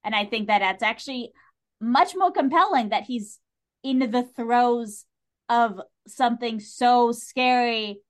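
A woman in a casual, opinionated discussion as a podcast guest, speaking with emphatic enthusiasm. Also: on the high side.

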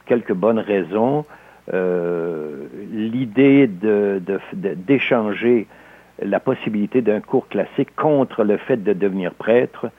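Older husky-voiced Québécois man, measured. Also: lowish voice, dry recording, phone-quality sound. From Alsace, France. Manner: measured, halting with frequent hesitations. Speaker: interview guest, novelist. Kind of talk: reflective interview talk.

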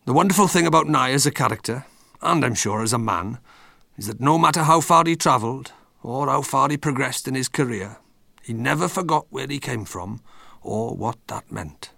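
Bright, creaky-voiced man in interview. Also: mid-range voice, expressive, normal speed, no room sound, studio quality. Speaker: interviewed contributor to a drama documentary. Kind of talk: reflective interview talk.

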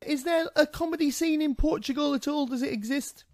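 A man's voice: very high-pitched, brisk, no room sound, clean studio sound. A man hosting a podcast, upbeat and jokey as he introduces the show and interviews a guest. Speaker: podcast host.

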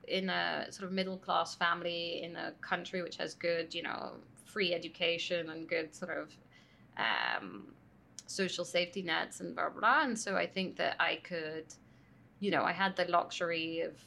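Gravelly-voiced woman guest, hesitant. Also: on the low side, animated, measured pace, pushed and bright, a little hiss or hum. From France. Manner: thoughtful, many hesitations and restarts. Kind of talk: conversational interview. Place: conference venue. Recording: faint background ambience at a summit.

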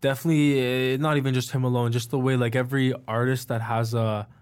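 A young man speaks as a podcast guest, relaxed and easygoing. His delivery stays level with little rise and fall, mid-pitched for a man. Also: fast, clean tone. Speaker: rapper guest. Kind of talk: casual interview talk.

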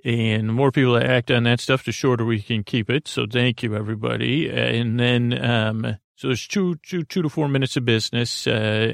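A man hosts a bedtime podcast in a gravelly, creaky voice. He rambles soothingly and haltingly, drifting into whimsical tangents. The sound is close and dry, with almost no room around it. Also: medium pitch, no room sound. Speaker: podcast host.

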